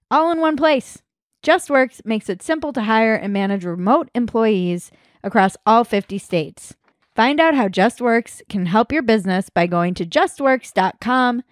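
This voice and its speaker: A woman's voice: medium pitch, no echo, studio quality. A woman speaking as a podcast host, in interview chat and host-read sponsor spots.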